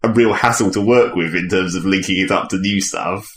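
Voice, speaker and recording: A man's voice: low voice, a little fast, fairly bright tone. A man co-hosting a casual gaming podcast, talking informally in a hedging, questioning way. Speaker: podcast co-host. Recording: echoey room with faint background noise.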